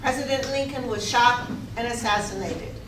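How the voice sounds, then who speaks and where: A woman's voice: on the high side, normal speed. A woman reading formal historical narration in a readers theater. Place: echoey room, live rehearsal. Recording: echoey, distant, noisy rehearsal recording.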